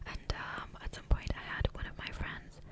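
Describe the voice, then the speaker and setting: A woman whispering softly and breathily into a close microphone in a rambling, hesitant ASMR gameplay commentary, often trailing off. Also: moderate pace. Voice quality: soft, breathy unvoiced whisper. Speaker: ASMR gaming creator. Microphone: close USB condenser microphone.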